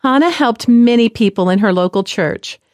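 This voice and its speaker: A woman narrating a story in a gentle, clear, measured reading style. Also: fairly high pitch, expressive, no echo, studio quality. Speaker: presenter.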